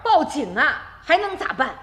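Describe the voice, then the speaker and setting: An actress playing a warm-hearted, dutiful young village wife in a radio drama, earnest and full of feeling. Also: female voice, sits high, sing-song, normal speed. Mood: practical. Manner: earnest, emotional. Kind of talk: Chinese radio drama acting.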